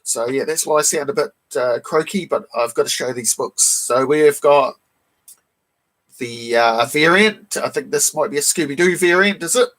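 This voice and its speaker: A man with a slightly high, croaky voice worn rough by a cold hosts a relaxed live stream. He chats loosely with his viewers, greets them by name and stops often to clear his throat. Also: moderate pace, poor audio. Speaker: live stream host showing comic books. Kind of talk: casual live stream chat.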